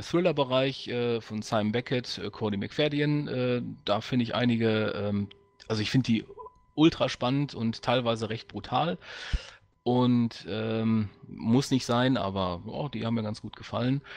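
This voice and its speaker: A middle-aged man in a casual podcast chat, speaking haltingly with many hesitation sounds. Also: medium pitch, dry recording. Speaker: audio drama sound editor.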